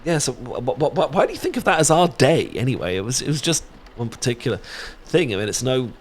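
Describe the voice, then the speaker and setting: A man's voice: mid-range voice, big pitch swings, quick pace, slight background noise, close to an everyday voice. A British man playing a shy, sweet best friend secretly in love, stammering and hesitant in a romantic ASMR audio roleplay. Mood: flustered, nervous.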